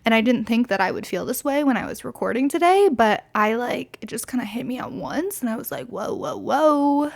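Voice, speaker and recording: A woman's voice: a little high, expressive, brisk, vocal fry, hardly any pauses. A woman in her twenties, slightly tipsy, rambling candidly through a casual solo podcast with lots of fillers and swearing. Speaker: podcast host.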